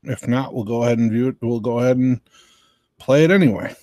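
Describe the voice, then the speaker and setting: An American man casually hosting a livestream in a low-key, halting way. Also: dark tone, no echo, clean studio sound. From southern Virginia, United States. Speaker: livestream host.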